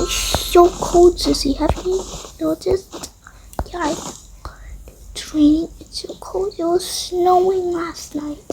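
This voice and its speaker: A woman's voice: very high voice, warm tone, slight background noise, poor audio. A female voice improvising a roleplay with toy game characters, slangy and drawling, with sighs. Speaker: roleplay video creator voicing toy characters.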